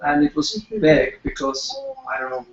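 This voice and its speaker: Creaky-voiced audience member in echoey room. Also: medium pitch, sing-song, moderate pace. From Czech Republic. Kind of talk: casual question and discussion. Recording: echoey room, dull sound, crowd.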